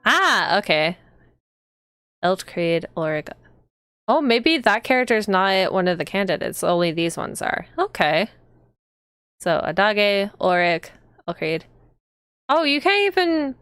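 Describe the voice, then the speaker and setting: A woman's voice: medium pitch, lively intonation, slow pace, long pauses, fairly bright tone, smooth, dry recording, studio quality. A woman chatting casually to viewers as she hosts a live game stream. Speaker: streamer and host.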